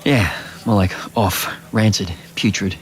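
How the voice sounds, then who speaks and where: An actor playing a weary restaurant boss in an audio drama, sardonic, self-deprecating and hesitant. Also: male voice, lowish voice, fairly even intonation, measured pace, a little hiss or hum, natural voice. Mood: sour, resigned.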